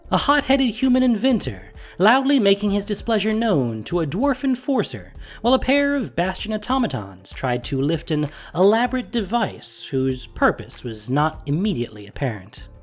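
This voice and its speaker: A narrator reading a scripted fantasy story in an expressive storytelling manner. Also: mid-range voice, moderate pace, hardly any pauses, soft background music.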